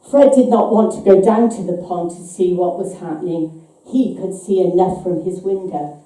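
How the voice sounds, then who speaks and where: A woman giving a live, measured and suspenseful dramatic reading of a short story. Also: mid-range voice, warm tone. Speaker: author reading her own short story. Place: live reading event, voice picked up from afar. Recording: echoey hall, distant microphone.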